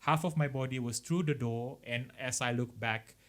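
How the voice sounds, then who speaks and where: A man's voice: medium pitch, moderate pace. A Malaysian man co-hosting a podcast, casually reading out stories and chatting, mixing English with Malay. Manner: mixes English with Malay, explaining as they go. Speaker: podcast co-host. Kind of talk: casual chat, reading out listener horror stories.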